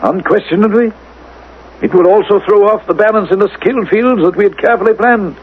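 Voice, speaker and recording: An actor playing a formal, unbending government official in a futuristic radio drama, speaking with clinical precision. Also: male voice, high-pitched, a little fast, husky. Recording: tinny, narrow old radio recording.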